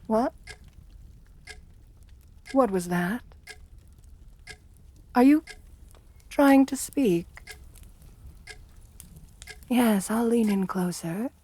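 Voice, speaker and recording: A woman playing an assertive, seductive and menacing aristocratic countess in an ASMR roleplay, speaking softly with coaxing, condescending endearments. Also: on the high side, very slow, pauses often, husky, heavily acted. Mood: taken aback.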